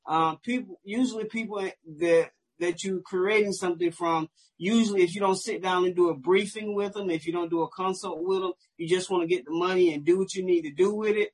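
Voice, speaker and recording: A man's voice: high-pitched, fairly even intonation, a little fast, hardly any pauses, husky, poor audio. A man co-hosting a live online business panel, explaining with homely sayings. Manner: folksy, explains with proverbs. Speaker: co-host.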